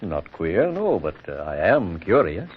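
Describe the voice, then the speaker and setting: A man's voice: deep voice, wildly swooping pitch, unhurried, husky, full character voice. A man playing a kindly, courteous, hesitant older country reverend in a 1940s radio drama. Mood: thoughtful. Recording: tinny, narrow vintage radio recording.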